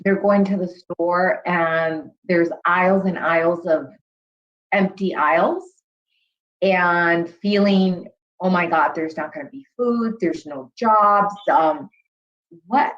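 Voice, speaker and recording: A woman's voice: on the low side, slow. A woman hosting an interview, asking earnest questions and praising her guests effusively. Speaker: host. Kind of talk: interview conversation. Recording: dull lo-fi sound.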